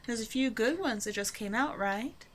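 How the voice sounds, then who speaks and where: A woman's voice: a little high, animated, moderate pace, a little hiss or hum, lightly acted. A woman playing a flirtatious, self-assured supervillain in an intimate roleplay audio drama, talking casually and teasingly to the listener. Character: flirtatious female supervillain, a demanding but generous tailor's customer.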